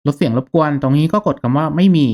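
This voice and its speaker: A man hosting a software tutorial in an informal, polite, step-by-step way, checking in with listeners. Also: fairly high pitch, fast. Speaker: YouTube tutorial host, gaming channel streamer.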